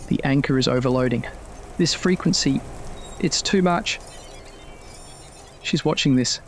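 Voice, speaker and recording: A man's voice: medium pitch, a little slow, soft background music, natural voice. A man voicing a magical companion who gives clipped, intense technical reports in an audio drama. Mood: alarmed. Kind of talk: scripted audio drama acting.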